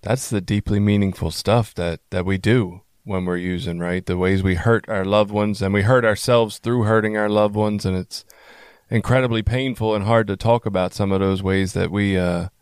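A man hosting an interview, speaking reflectively and haltingly, with repeated words. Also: on the low side, steady tone, clean tone, no echo, clean studio sound. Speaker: podcast host. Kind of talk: conversational interview.

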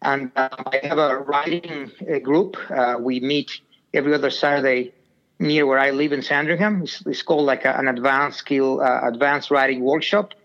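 A man's voice: medium pitch, fairly even intonation, moderate pace, fairly bright tone. Hesitant middle-aged man on a dull line. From Venezuela. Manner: hesitant, with many "uh" and "um" fillers. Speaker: podcast guest on a phone line. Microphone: phone line.

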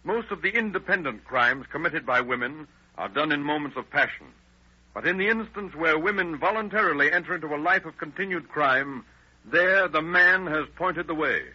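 A man's voice: fairly high pitch, bright, forceful tone, slightly rough. A man narrating an old 1930s radio crime show in a formal, grave, declamatory way. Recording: dull old radio recording.

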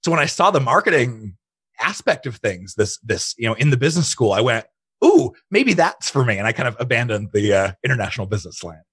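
A man's voice: medium pitch, sing-song, fairly quick, fairly bright tone, breathy edge, dry recording. A man speaking as an interview guest, chatting casually and telling personal stories, often quoting his own thoughts. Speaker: interview guest, digital marketing entrepreneur.

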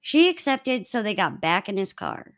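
A woman's voice: mid-range voice, very animated, normal speed. A woman hosting a true crime podcast, narrating in an informal, conversational way between bits of casual chat.

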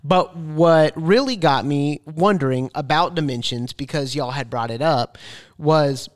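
High-voiced man reading listener mail. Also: a little slow, dry recording, studio quality. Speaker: podcast co-host. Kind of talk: casual chat, reading listener mail aloud.

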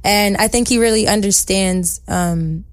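Young woman, soft voice, bubbly and slangy, chatting as a guest, dry close sound. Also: mid-range voice, measured pace, no echo. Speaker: singer-songwriter guest. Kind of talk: casual interview chat.

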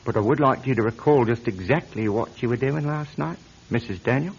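An actor with a British accent playing a courteous, smoothly inquisitive policeman in an old radio mystery, speaking politely and formally. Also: mid-range voice, a little fast. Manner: polite, formal, suave questioning. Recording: muffled, noisy old radio recording.

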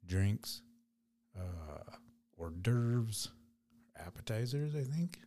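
A man hosting a podcast solo, with a raspy voice, chatting loosely and rambling in an unedited way. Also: fairly low pitch, lively intonation, extremely slow, dark tone, slight background noise. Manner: rambling, loose, laid-back. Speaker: podcast host. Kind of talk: casual solo podcast chat.

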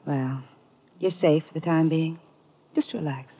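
A wary, conflicted woman in an old radio play. Her voice is low for a woman and breathy, with a hesitant, intimate delivery. The recording is muffled and aged. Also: measured pace, exaggerated voice. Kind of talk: 1950s radio drama acting.

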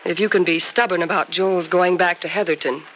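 Woman playing concerned female friend, American accent, bright and forceful, deliberate emphasis, vintage radio serial, light background noise. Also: lowish voice, fairly even intonation, lo-fi sound, close to an everyday voice. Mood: urgent, persuasive. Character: concerned, urgent female friend giving firm advice. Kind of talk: old-time radio soap opera acting. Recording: old radio broadcast with faint noise.

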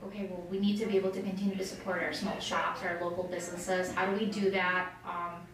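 A woman's voice: on the low side, little pitch movement, quick pace, a crowd in the background. A woman from Colombia chatting casually as a guest in an interview about her small business. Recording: very echoey room, distant mic, faint noise.

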